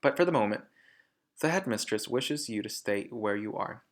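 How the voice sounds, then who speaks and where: A man voicing a gentle, earnest woman schoolteacher in a storybook, speaking politely and with measured care. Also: fairly low pitch, animated, natural voice.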